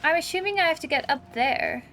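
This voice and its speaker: A woman's voice: sits high, lively intonation, brisk, a bit bright, a little hiss or hum. A woman giving casual, chatty let's-play commentary on a game, playful and given to exclaiming. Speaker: gaming video host.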